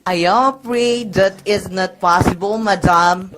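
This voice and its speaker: A woman playing a brisk, unruffled phone official in a radio drama, formal and businesslike. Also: mid-range voice, very noisy, natural voice. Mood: politely firm. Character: brisk, official, unruffled telephone public-service worker.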